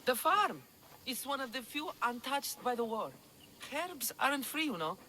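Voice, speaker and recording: A practical herbalist from a farm in a video game. She is matter-of-fact and wry, with very varied intonation, over clear background noise. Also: sits high, big pitch swings, moderate pace, pushed and bright, full character voice, low-quality recording. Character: practical, grateful female herbalist living on a farm. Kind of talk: video game cutscene acting.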